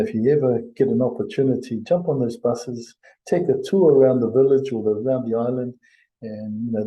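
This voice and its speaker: A very dark, soft-edged male voice. He presents informally, as in a webinar, pausing often and feeling his way through sentences in a conversational manner. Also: mid-range voice, low-quality recording. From Samoa. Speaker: health and safety presenter.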